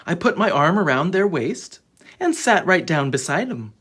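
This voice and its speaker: A storyteller with a husky voice reading a children's book aloud in a dramatic, expressive way. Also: mid-range voice, normal speed. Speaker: children's story reader and channel host.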